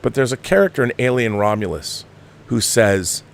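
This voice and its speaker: A man delivers opinionated film commentary in a conversational way. His pitch moves up and down widely as he hammers points home, restarting and repeating phrases with an exasperated, emphatic manner. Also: animated, normal speed, gravelly. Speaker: film review show host.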